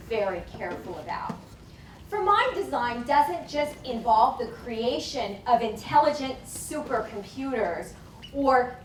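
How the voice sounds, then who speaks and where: A woman giving a deliberate, emphatic academic lecture at a conference on a lapel mic. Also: on the high side. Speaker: conference speaker. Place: conference hall. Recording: echoey hall from far off, faint noise.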